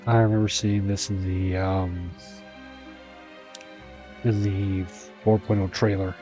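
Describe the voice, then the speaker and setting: Casting: male gaming host, deep voice, casual commentary over clear game music. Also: little pitch movement, slow pace, dull audio. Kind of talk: casual gameplay commentary and reading dialogue aloud.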